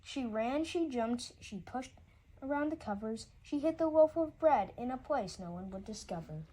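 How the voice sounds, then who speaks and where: A woman's voice: on the high side, lively intonation, moderate pace, mellow, rough recording. A woman reading a rhyming children's story aloud, often stumbling and repeating words as she goes.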